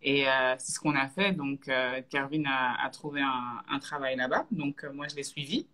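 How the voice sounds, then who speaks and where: A woman in her thirties from Belgium, a guest on a live video chat, talking casually with many pauses and restarts. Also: very deep voice, bright, forceful tone. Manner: hesitant, many fillers and restarts. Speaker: guest, entrepreneur. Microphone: video call.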